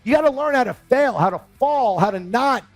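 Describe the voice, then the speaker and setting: High-pitched, excitable male host. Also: a little fast, soft background music. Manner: excitable, emphatic, rambling. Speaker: show host. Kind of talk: animated interview hosting.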